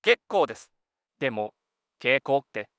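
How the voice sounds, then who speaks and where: A man's voice: medium pitch, very animated, a little slow, fairly bright tone, no room sound, studio quality. A young man playing an earnest junior detective in an audio drama, politely complaining to his senior and often exasperated. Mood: exasperated, curious.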